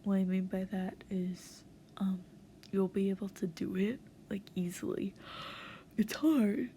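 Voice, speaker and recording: Woman, unhurried delivery, hesitant and giggly, informal tutorial voiceover, light background noise. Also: medium pitch, monotone, dark tone. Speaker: online video creator giving a voice acting tutorial. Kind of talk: casual rambling tutorial voiceover. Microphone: voice-over recorded to accompany a speed paint.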